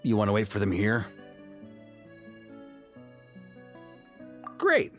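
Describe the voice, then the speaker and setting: A man playing a confident, steady expedition commander in a video game, authoritative yet easygoing. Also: lowish voice, a little fast, faint music behind. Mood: friendly.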